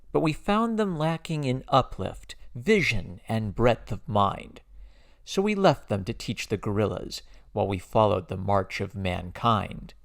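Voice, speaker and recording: A man giving a dramatic poetry reading with a gravelly voice and measured, declamatory phrasing with emphatic stresses. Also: lowish voice. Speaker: poetry reader.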